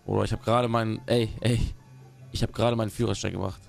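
A man's voice: fairly low pitch, flat delivery, fast talker, vocal fry, faint music behind. A young man in casual, slangy gaming roleplay chat, with a teasing manner. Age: young adult. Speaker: streamer playing GTA roleplay.

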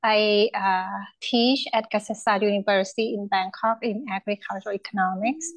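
A woman who teaches at a university, introducing herself on a video call in a measured way with frequent uhs. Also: mid-range voice, no room sound. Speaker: university lecturer.

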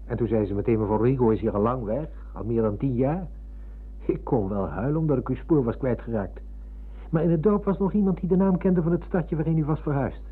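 On a tinny, phone-like radio recording, an actor plays a courteous male visitor. His mid-range voice is husky. He speaks earnestly and with deference, as in a radio play. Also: mid-range voice, fairly quick, natural voice. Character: polite, earnest visitor, former schoolmate seeking old acquaintances. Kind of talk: Dutch radio play acting.

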